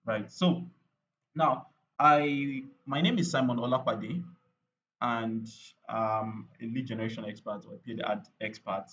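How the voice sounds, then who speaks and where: A man's voice: medium pitch, fairly even intonation, measured pace, fairly bright tone, highs cut off. A man running a live online training on paid ads, chatty and repetitive, with lots of fillers and "right?" tag questions.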